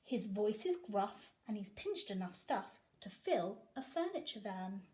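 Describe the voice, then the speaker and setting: A woman teacher reading a picture book aloud to young children, with animated, sing-song emphasis. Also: medium pitch, a little slow. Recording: echoey room.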